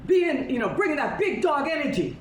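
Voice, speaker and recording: A woman who works as a voice actor and coach, explaining her craft in an animated, conversational way and laughing often. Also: high-pitched, a little fast, roomy sound, a little hiss or hum. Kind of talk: conversational interview.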